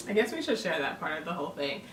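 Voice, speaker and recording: A woman's voice: low-pitched, sing-song, fast talker. A woman raised in Toronto talks earnestly to the camera, laying out her thoughts and calling the audience "y'all." Her voice is caught from a distance in a roomy space, with a faint hiss behind it. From born in Jamaica, raised in Toronto, Canada. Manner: earnest and explanatory, addresses viewers as "y'all". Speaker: vlogger. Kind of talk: casual couple's chat to camera.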